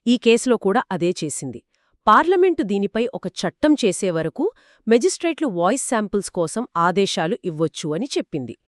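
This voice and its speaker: A woman's voice: mid-range voice, very animated, fairly quick, no echo, studio quality. A woman co-hosting a scripted explainer discussion, speaking in an assured, explanatory way. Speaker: explainer co-host. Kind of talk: scripted two-person legal explainer discussion.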